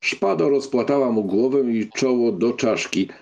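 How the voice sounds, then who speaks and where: A man narrating a literary audiobook in an expressive, scripted storytelling style. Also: medium pitch, moderate pace, creaky.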